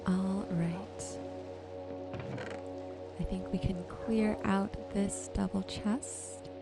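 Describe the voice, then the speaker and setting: Soft spoken lady doing asmr gaming commentary, really slow, kinda talking to herself, loud music behind. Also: mid-range voice, fairly even intonation, gravelly. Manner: soft-spoken, gentle musing to herself while sorting. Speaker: gaming video host.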